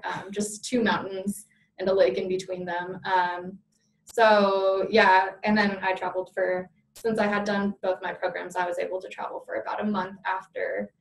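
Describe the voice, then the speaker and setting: A woman's voice: medium pitch, vocal fry, roomy sound, distant mic, low-quality recording. A young woman in her twenties, a law student guest, chatting enthusiastically and a bit ramblingly about her summer. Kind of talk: casual interview.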